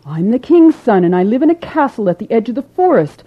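A woman playing an earnest young prince, husky. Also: on the high side, very animated, fairly quick, phone-quality sound. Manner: courtly, earnest storybook speech.

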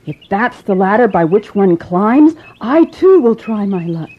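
An earnest, romantic young prince, played by a woman, with a husky, breathy voice and a lively, rising and falling delivery. He speaks in courtly storybook phrases. The recording is slightly muffled. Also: medium pitch, a little slow.

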